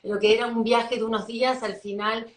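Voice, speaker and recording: A woman from Buenos Aires with an Argentine accent, a psychologist explaining ideas enthusiastically in an interview, pausing with fillers. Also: fairly high pitch, little pitch movement, echoey room. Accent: Argentine Spanish, Buenos Aires. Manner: warm, enthusiastic, thoughtful with many fillers. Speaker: psychologist guest. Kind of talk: live-stream interview.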